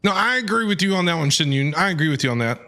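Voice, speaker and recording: A man streaming live game reactions in an excitable, rambling, repetitive way, talking to his chat. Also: a little high, very fast.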